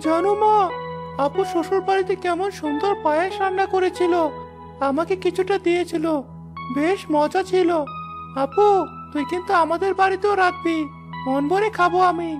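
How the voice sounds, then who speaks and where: A woman's voice: very high voice, steady tone, normal speed, over music. A woman playing an eager young little brother, prone to sulking, in a Bengali cartoon.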